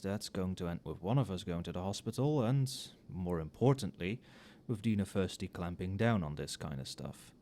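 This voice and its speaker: A man playing an overworked magic professor in a casual, soft-spoken ASMR roleplay, muttering with dry sarcasm. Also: low-pitched, a little hiss or hum. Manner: casual, muttering, dryly sardonic. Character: wry, overworked male magic professor grading papers late at night.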